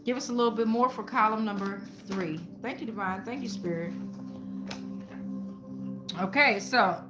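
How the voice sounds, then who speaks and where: A woman's voice: mid-range voice, normal speed, over loud music. A woman host giving an informal spiritual tarot reading to viewers, speaking colloquially and repeating phrases like incantations. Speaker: tarot reader and channel host.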